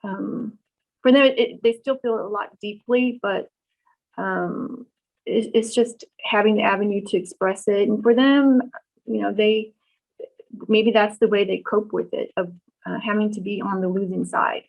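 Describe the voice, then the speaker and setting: A middle-aged woman born in Vietnam, answering questions in an oral history interview, pausing often with ums and restarts. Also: medium pitch, steady tone, gravelly. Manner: thoughtful, many ums and restarts. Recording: dull lo-fi recording.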